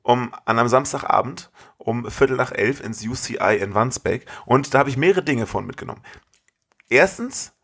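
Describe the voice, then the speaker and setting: A man's voice: medium pitch, animated, moderate pace, a bit bright, husky. A man chatting casually as a podcast co-host, enthusiastic, full of slang and swearing. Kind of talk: casual chat.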